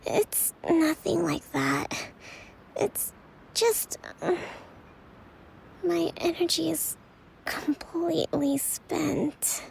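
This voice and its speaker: An actress playing a gentle young woman leader in a video game, drained and apologetic, speaking softly and haltingly in a breathy voice. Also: extremely high pitch, slight background noise, heavily acted, rough recording. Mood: exhausted, apologetic. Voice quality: soft, breathy. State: exhausted.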